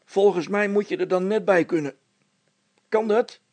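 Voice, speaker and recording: A man's voice: high-pitched, moderate pace, darkish tone, voice pushed up. A man voicing a grumbling, wary father in a storybook, talking in a plain, colloquial way. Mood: gruff, irritated. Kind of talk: storybook character voice.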